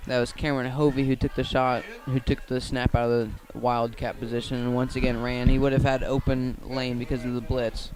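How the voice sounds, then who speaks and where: A man with an American accent giving live radio play-by-play of a football game, halting at times and correcting himself. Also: medium pitch, flat delivery, darkish tone. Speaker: play-by-play commentator. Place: outdoors at a football field.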